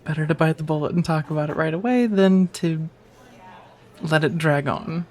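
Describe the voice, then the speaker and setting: A woman's voice: sits low, moderate pace, lightly acted. A woman playing a friendly, teasing firefighter on a date in an intimate, casual ASMR romance roleplay, chatty and reassuring. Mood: wry, playful. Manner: chatty, playfully wry, reassuring, sprinkled with soft laughs.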